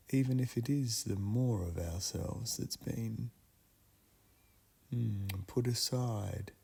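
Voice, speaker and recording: A man speaks in a low, husky voice with a heavy creak. He leads a guided meditation ritual solemnly and deliberately, setting out short phrases with long pauses between them. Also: a little mellow.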